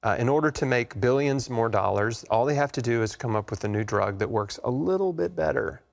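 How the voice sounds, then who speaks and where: A male interview guest with a medium-pitched voice and noticeable vocal fry. He explains his points plainly and earnestly, in the conversational style of a talk show. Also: expressive, brisk. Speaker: interview guest, health author.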